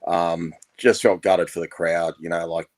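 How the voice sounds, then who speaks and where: A deep-voiced Australian man talks loosely on a podcast, his voice still hoarse as it recovers. He rambles along with plenty of fillers and restarts. The recording is dry and close. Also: a little slow, dry recording. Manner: relaxed, rambling, many fillers. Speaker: podcast co-host. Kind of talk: casual chat.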